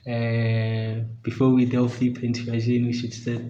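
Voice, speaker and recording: A man's voice: mid-range voice, monotone, moderate pace, warm tone, slight background noise. A man hosting an interview podcast, speaking in a polite, formal way to a guest. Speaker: podcast host. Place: restaurant. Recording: dull, roomy, echoing sound.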